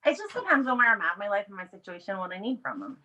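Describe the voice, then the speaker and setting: Female podcast guest, bright forceful voice, brash and playful, swears freely in loose candid banter. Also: medium pitch, huge pitch swings, racing. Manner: brash, playful, foul-mouthed.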